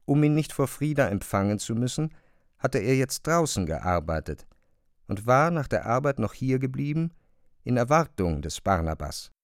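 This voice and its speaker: A man reading literary narration for a radio drama, with measured, deliberate phrasing and pauses. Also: medium pitch, very animated, no room sound, clean studio sound.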